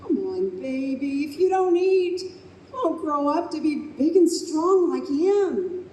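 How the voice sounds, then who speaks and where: A high, unhurried, mellow voice carries through a roomy, slightly noisy space. It is an emotional young mother, doting and frazzled, played by a woman in old-time radio style. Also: animated. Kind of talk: live old-time radio play acting. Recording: echoey live stage with background noise.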